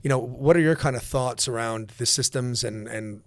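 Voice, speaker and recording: A man's voice: mid-range voice, normal speed, dry recording. A man hosting a podcast interview, asking questions in an upbeat, friendly way. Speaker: podcast host.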